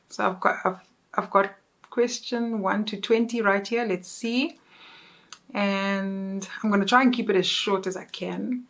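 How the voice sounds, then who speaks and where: A woman's voice: medium pitch, creaky. Chatty South African woman vlogger. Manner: chatty, self-correcting, upbeat. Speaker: YouTuber. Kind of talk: casual vlog chat.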